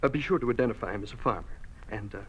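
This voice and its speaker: On a dull, lo-fi old radio recording, a coolly officious bureaucrat questions formally. His mid-range voice is measured and deliberate. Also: mid-range voice, fairly bright tone, slightly rough. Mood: coolly questioning. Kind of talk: old-time radio drama acting.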